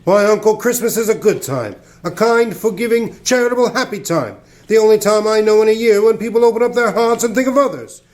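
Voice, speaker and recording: A man's voice: high voice, a little fast, few pauses, a little mellow, natural voice. A man acting a genial, earnest, kindly young nephew in a radio play.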